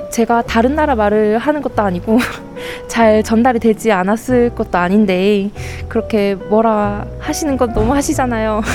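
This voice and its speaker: A young woman with a Busan dialect speaks frankly in a recorded message, with a wry tone. Her voice sits at an ordinary middle pitch. Music plays clearly underneath. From Busan, South Korea. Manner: frank, wry, with frequent small laughs. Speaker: listener sending a message.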